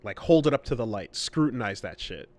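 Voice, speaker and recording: A man's voice: mid-range voice, big pitch swings, fairly quick, darkish tone. A man co-hosting a podcast, giving casual conversational commentary in a wry, emphatic, sweary way. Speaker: podcast co-host.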